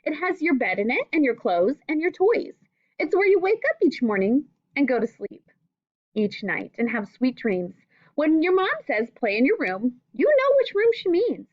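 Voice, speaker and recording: A woman reads a picture book aloud as a teacher would for young children. Her voice sits fairly high and moves up and down a lot as she speaks straight to her listeners. The recording is very dry and a little muffled. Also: very animated, moderate pace, dry recording. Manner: addresses young listeners directly with simple questions. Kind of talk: children's read-aloud with questions and asides.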